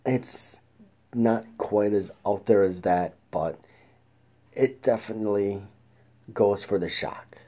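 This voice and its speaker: A man hosting a casual film review show, talking in a halting way with restarts and throat clearing. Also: on the low side, warm tone. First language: English.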